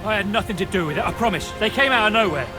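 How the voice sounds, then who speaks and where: Anxious, high-pitched ship's captain. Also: male voice, lively intonation, very fast, music in the background. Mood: pleading. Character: frightened, deferential ship's captain pleading innocence. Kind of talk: scripted video game acting.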